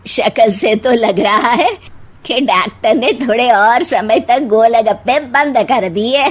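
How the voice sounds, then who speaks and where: Slow, sarcastic mother-in-law. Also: fairly high pitch. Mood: taunting. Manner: sarcastic, mocking. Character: taunting sarcastic middle-aged mother-in-law. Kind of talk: animated cartoon dubbing.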